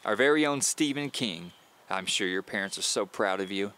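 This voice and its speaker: A man's voice: lowish voice, animated, moderate pace, a bit bright, a little hiss or hum. A man voicing a kindly, grandmotherly widow with a folksy, teasing, chatty manner in an audio drama. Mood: playful.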